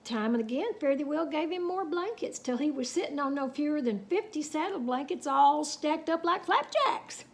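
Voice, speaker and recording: Children's story reader: woman, high-pitched, very lively and folksy. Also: moderate pace, hardly any pauses. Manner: animated, folksy, dramatic. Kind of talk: expressive storybook read-aloud with folksy asides.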